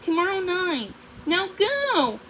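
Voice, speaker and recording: A woman's voice: extremely high pitch, very animated, takes it slowly, fairly bright tone, a little hiss or hum, pitched above the performer's own voice, heavily acted, rough recording. A woman voicing a young storybook princess who is defiant and brave, at times flustered. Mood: tender, urgent.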